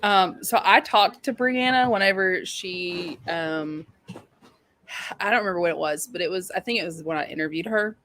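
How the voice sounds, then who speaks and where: A woman's voice: lowish voice, normal speed, a bit bright, a little hiss or hum. A woman co-hosting a live readalong show, chatting about books in an informal, slangy, emphatic way. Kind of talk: casual book chat.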